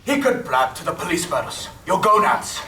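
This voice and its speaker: A man's voice: high-pitched, sing-song, fairly quick, sharp tone, heavily acted. A man playing a brutish, short-tempered thief in a radio play on stage. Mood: threatening. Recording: distant echoey stage, faint noise.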